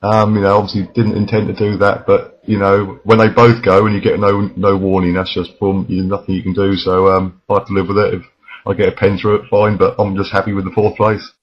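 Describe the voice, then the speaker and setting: A man's voice: deep voice, flat delivery, a little fast. A man who is under the weather and losing his voice, giving a hesitant, matter-of-fact driver interview. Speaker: sim racing driver being interviewed. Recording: dull, lo-fi voice chat.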